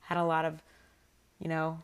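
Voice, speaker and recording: A young woman co-hosts a podcast with a fairly low voice. It stays clear, though slightly worn from recently losing it. She talks casually and informally, rambling through her stories. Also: monotone, moderate pace. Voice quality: slightly raspy from lost voice. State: voice worn from shouting and singing. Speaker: podcast co-host. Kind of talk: casual chatty podcast conversation.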